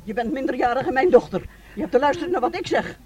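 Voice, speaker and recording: An actress playing a domineering, guilt-tripping middle-aged mother in a radio play, with a husky voice and scolding, melodramatic delivery. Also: high voice, animated, quick pace. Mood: commanding anger.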